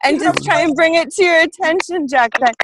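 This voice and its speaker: A middle-aged woman talking casually and frankly in a group call, giving animated advice. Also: extremely high pitch, a little fast. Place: online group video call. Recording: slightly noisy video call, clicks.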